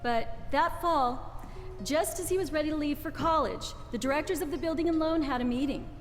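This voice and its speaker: A woman's voice: high-pitched, fairly quick, natural voice. A woman playing the storyteller, giving scripted narration for a radio play. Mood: explanatory. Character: gentle female storyteller recounting a life. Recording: reverberant hall, faint music behind.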